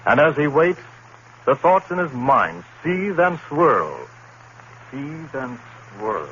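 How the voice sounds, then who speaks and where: Male vintage radio announcer, formal, theatrical, lively pitch movement, muffled noisy recording. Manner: dramatic, theatrical, measured phrasing. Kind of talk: formal 1950s scripted radio introduction.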